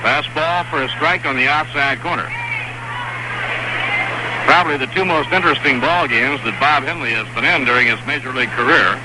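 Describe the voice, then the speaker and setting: Man calling baseball on noisy old radio. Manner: steady, measured calling of each pitch. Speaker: baseball play-by-play announcer. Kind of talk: live radio sports commentary. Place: stadium broadcast booth. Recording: noisy vintage 1960s radio broadcast.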